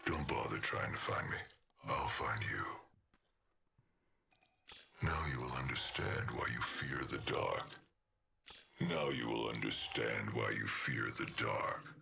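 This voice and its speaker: A sinister machine assassin from a video game, with an extremely low, gravel-filled voice. He savors every threat slowly and cruelly, sliding between a sharp-edged growl and a breathy whisper. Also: slight background noise, heavily acted. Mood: cold menace. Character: menacing sadistic robotic killer, male, taunting his prey. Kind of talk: video game character voice lines.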